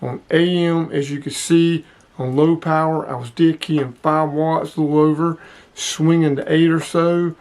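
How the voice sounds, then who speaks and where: Higher-voiced man reviewing gear. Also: level tone, a little slow, a little mellow. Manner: plain-spoken, informal. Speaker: host, product reviewer. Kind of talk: casual hands-on product review.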